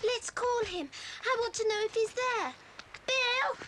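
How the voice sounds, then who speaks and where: Little British girl, played by a woman, very high pitch, curious, anxious, story-tape acting, noticeable hiss. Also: a little slow. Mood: calling out. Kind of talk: 1980s children's adventure audio drama acting. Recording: hissy 1980s cassette recording.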